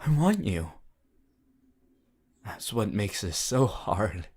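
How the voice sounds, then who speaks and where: A woman's voice: rumbling low, very animated, slow, husky, full character voice. A woman playing an obsessive, possessive yandere lover in an ASMR roleplay, clingy and unhinged. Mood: needy, craving. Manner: clingy, unhinged, swinging from sing-song pleading to hushed threats. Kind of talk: ASMR boyfriend roleplay audio.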